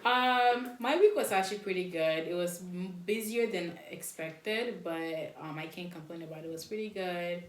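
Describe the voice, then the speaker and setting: A woman in her twenties co-hosting a podcast, talking softly and hesitantly with many fillers. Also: lowish voice, moderate pace, few pauses, slight background noise. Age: 20s. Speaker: podcast co-host. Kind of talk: casual chat. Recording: echoey room.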